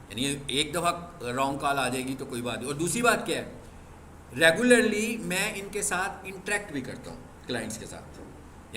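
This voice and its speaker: A man's voice: on the high side, sharp tone. A male teacher lecturing a class. He explains by asking questions and answering them himself, and he is firm with students about discipline. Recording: echoey classroom with faint background noise.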